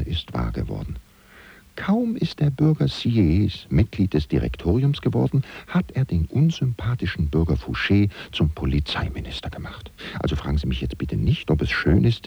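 An actor playing a wry, gossiping French valet who confides ironically in the listener as narrator of a German radio play. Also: male voice, very deep voice, creaky, mellow, husky, no room sound, heavily acted. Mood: wry, bewildered. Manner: confiding, ironic, rhetorical questions.

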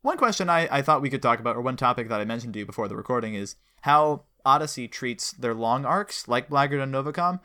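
Guy hosting a casual fan interview, really bright voice, eager and wordy, keeps correcting himself. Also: mid-range voice, animated, fast talker, hardly any pauses. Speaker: host interviewing a guest; audio drama producer and writer. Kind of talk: casual enthusiastic fan conversation.

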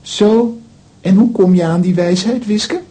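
A woman's voice: unhurried, warm tone, natural voice. A woman playing a confident, teasing young boy in a children's audio drama. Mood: sceptical. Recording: faint noise and low rumble.

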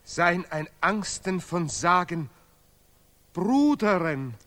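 Male pompous, excitable scholar for radio play; dragging delivery, high register, broken grammar. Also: heavily acted. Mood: solemn. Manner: declamatory, chopped phrases in deliberately broken grammar. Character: second pompous male laureate scholar, zealous, sentimental, excitable. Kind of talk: absurdist 1970s German radio play.

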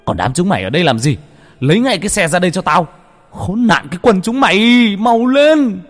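A man voicing a desperate, domineering father in a horror story, calling out and roaring loudly. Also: sits high, sing-song, fairly quick, in a large hall, faint music behind, pitched above the performer's own voice. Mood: furious, roaring. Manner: roaring, cursing.

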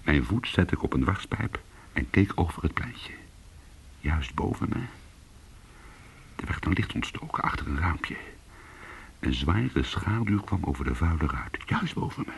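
Hard-boiled ex-burglar, very husky, deep-pitched, brooding, intimate radio-play storytelling. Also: male voice, big pitch swings, measured pace, long pauses, full character voice. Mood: hushed, tense. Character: weary male ex-cat-burglar recounting a tense night, hard-boiled.

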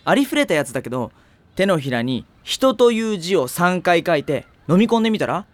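A man's voice: high voice, sing-song, a little fast, heavy background noise. An actor playing a kind, teasing older teenage boy who talks in an easygoing, reassuring way in an anime drama CD.

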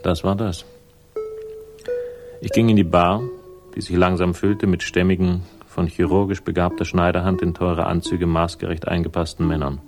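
A man's voice: sits low, flat delivery, normal speed. An actor playing a laconic, ironic investigator who narrates his case in a 1960s German crime radio drama. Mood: dry, wry. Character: wry, hard-boiled male investigator narrating his case. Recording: music behind the voice.

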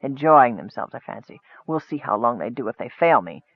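A man's voice: medium pitch, sing-song, brisk, no echo, pitched below the performer's own voice. Man voicing a cold commanding wizard, scheming, impatient, storybook villain, tinny phone-like sound, dry. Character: scheming cold male goblin-hiring wizard.

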